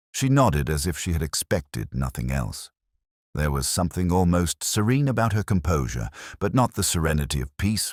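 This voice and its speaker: A man reading scripted first-person detective fiction as narrator, in a measured, reflective literary manner. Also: very deep voice, a little mellow, no room sound, clean studio sound.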